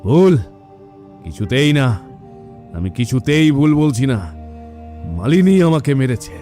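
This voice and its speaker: A man's voice: medium pitch, very animated, a little slow, dark tone, soft background music. A man voicing the stern, vengeful ghost of a murdered father in a cartoon. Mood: firm. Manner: stern, scolding. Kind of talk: Bengali cartoon voice acting.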